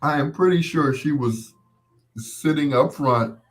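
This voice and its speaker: A man's voice: on the high side, unhurried. An American man in his sixties hosting a casual live chat, reminiscing in a rambling, conversational way and repeating points for emphasis. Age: 60s. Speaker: host.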